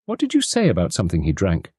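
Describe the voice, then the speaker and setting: A man voicing a brisk, energetic male doctor who speaks emphatically. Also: low-pitched, husky, dry recording, studio quality, full character voice. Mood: puzzled.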